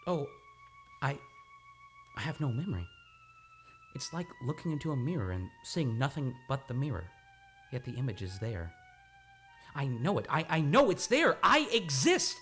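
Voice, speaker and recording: An actor playing a tormented man with amnesia in an old-time radio drama, halting and overwrought as he believes himself a killer. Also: medium pitch, huge pitch swings, fairly bright tone, soft background music. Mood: dazed, confessing, then desperate, frantic. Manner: halting, overwrought delivery with repeated words.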